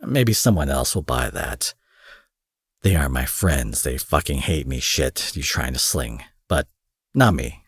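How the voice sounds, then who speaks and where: A man acting out a cocky, foul-mouthed young supervillain in an audio roleplay, husky, mocking and possessive, taunting his captive with drawled pet names. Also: a bass voice, lively intonation, unhurried, a bit bright, no room sound, clean studio sound, heavily acted. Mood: mocking, insistent. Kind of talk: dramatic roleplay monologue addressed to the listener.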